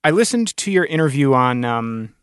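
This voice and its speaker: A man hosting a podcast interview in a thoughtful conversational style, with wordy, deliberate and articulate phrasing. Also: medium pitch, big pitch swings, clear, resonant tone, no room sound, studio quality.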